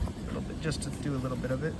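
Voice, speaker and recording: A man's voice: medium pitch, steady tone, very fast. A man hosting a casual children's read-aloud outdoors, rambling and easily sidetracked as he talks. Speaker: read-aloud storytelling host. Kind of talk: casual chat summarizing a children's book. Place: outdoors beside a river. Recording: noisy outdoor recording.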